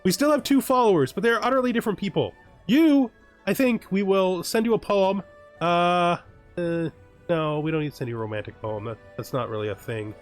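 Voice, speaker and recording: A man's voice: sits high, brisk, soft background music. A man hosting a roleplay let's play, mixing casual commentary with theatrical story reading in a wryly amused manner. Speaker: host of a gaming let's play.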